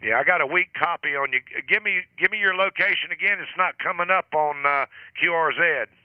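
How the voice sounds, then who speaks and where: A man's voice: on the high side, brisk, muffled recording, background noise. Friendly man running a radio net. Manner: relaxed, friendly, chuckles often. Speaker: net control operator. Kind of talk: amateur radio net chat.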